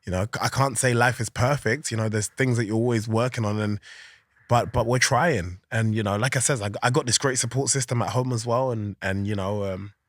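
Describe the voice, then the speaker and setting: Guest: man, animated, loose and chatty, close dry mic. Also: medium pitch, fairly quick, no room sound. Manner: animated, chatty, laughs often, many fillers. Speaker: podcast guest, organisation founder. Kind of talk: casual interview chat.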